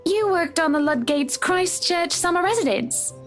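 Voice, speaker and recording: An actress playing a chatty, teasing wealthy wife in a visual novel, with an assured, brisk manner. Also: female voice, very high voice, animated, soft background music.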